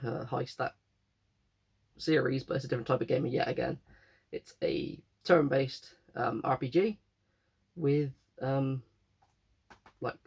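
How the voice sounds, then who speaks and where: Guy, croaky from a cold, gravelly and husky, rambles and corrects himself. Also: mid-range voice, darkish tone. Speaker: game collector vlogger. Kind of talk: casual chat.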